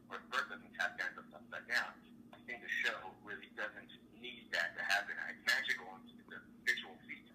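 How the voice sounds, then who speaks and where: A man's voice: on the low side, sharp tone, recorded from a distance, a little hiss or hum, poor audio. A man in his thirties whose first language is French, answering interview questions with eager enthusiasm and often repeating words. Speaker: musical theatre actor, guest.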